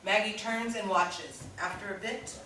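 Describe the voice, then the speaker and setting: A woman's voice: mid-range voice, steady tone, measured pace, bright, forceful tone. A woman reading stage directions as scripted narration at a staged play reading. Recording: very echoey room, distant mic, faint hiss.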